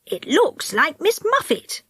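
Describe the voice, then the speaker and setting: A woman voicing a bold, inquisitive magical fellow in a children's storybook, brisk and questioning, with a creaky, rough voice. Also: extremely high pitch, wildly swooping pitch, fairly bright tone, no room sound, clean studio sound, voice pushed up, full character voice. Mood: concerned.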